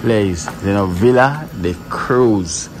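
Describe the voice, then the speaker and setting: A man's voice: on the low side, slow, crowd noise. A man giving a casual walkthrough of a guest villa, talking straight to his viewers. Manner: relaxed, repeats himself, speaks to viewers as guys. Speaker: vlogger touring a guest villa.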